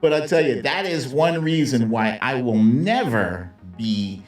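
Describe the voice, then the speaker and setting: A man with a mid-range voice delivers casual, opinionated live commentary at an unhurried pace. He is sardonic and salty, talking straight to viewers. He sounds set back from the mic in a roomy, echoing space, with music behind him. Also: mid-range voice, expressive, recorded from a distance. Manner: sardonic, conversational, salty slang. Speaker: host of a live political commentary stream.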